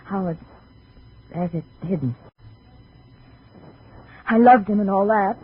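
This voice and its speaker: An actress playing a troubled, guarded American femme fatale with melodramatic hesitations, in 1950s radio drama style. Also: female voice, mid-range voice, slow, lightly acted. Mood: agitated. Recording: dull, faintly noisy vintage radio recording.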